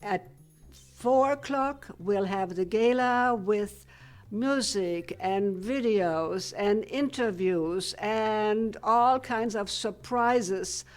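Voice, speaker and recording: An older woman from Germany, a retired physicist, answering questions in a studio TV interview in a measured, plain-spoken way. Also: mid-range voice. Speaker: guest, retired physicist turned painter.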